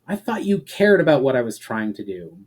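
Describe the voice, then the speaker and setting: A man's voice: mid-range voice, big pitch swings, brisk. A man voicing a proud, stubborn male elf hero who speaks emphatically in a fantasy story reading. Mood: angry. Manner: indignant, emphatic.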